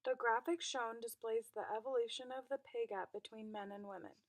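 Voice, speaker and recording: A woman narrating a student project in an even, read-aloud delivery. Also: fairly high pitch, a little fast, a little hiss or hum. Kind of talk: scripted narration.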